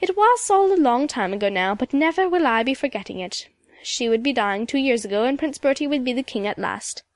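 A woman's voice: high voice, animated, a little fast, no echo. A woman voicing a composed, proud, sorrowful elderly woman in an audiobook, with lilting old-country phrasing and a habit of tagging on "oh yes". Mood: reminiscing, proud. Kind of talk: audiobook character dialogue.

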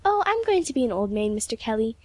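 A sensitive young woman, voiced by a female narrator, high in pitch and full of earnest feeling. She sounds like a storybook heroine pleading her case, in a dry, close recording. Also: very animated, normal speed, dry recording. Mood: mild.

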